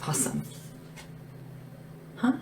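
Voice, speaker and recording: A woman playing a small, devoted, gentle assistant to a dragon monarch in a soft-spoken, often whispered ASMR roleplay, unhurried and deferential. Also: lightly acted. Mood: playful, caring. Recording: clearly noisy lo-fi ASMR recording. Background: tapping and background hiss.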